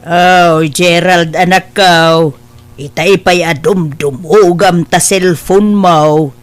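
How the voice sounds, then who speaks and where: A woman's voice: fairly low pitch, steady tone, takes it slowly, soft background music. A woman playing a fond, fretful older mother in an Ilocano audio drama. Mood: fond, concerned.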